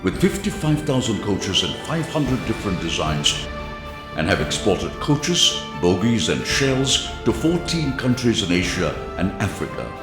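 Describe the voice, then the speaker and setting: A man's voice: fairly low pitch, very animated, a little slow, echoey room. A man reading scripted narration for a corporate promotional film. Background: guitar music.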